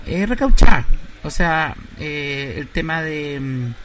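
A man doing a nosy woman caller, pushy, rather high voice, faint hiss behind. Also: lively intonation, takes it slowly, fairly bright tone. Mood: pestering. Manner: pushy, keeps pressing with questions. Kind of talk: radio prank call.

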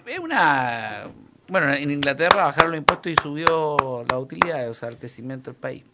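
A man's voice: fairly high pitch, big pitch swings, brisk, very noisy. A man co-hosting a comedy talk show, teasing his partner in a mock-serious way. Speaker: comedy co-host. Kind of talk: comic banter.

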